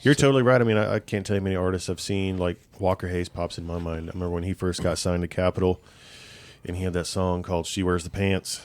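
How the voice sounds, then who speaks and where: A man co-hosting a casual podcast, telling stories in a quick, chatty way. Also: deep voice, steady tone, darkish tone. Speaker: podcast co-host.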